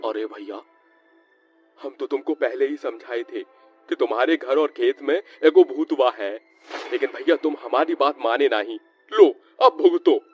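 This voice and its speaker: A man's voice: mid-range voice, a little slow, natural voice. A man playing a rustic villager who speaks in country dialect in a cartoon horror story. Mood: scolding. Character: rustic male villager, scolding or conspiratorial. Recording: muffled lo-fi audio with music behind.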